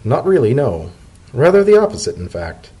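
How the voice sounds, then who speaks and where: Man playing an earnest, husky pony civil servant. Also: medium pitch, wildly swooping pitch, mellow. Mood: earnest, measured. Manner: formal, measured, sincere speech. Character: earnest, polite male pony civil servant, thoughtful diplomat.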